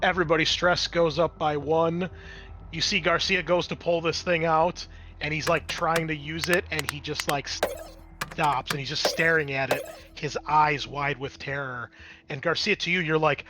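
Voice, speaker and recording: A man's voice: fairly high pitch, steady tone, moderate pace, noisy background. A man working as game master, narrating a tabletop roleplaying game in a casual, off-the-cuff way.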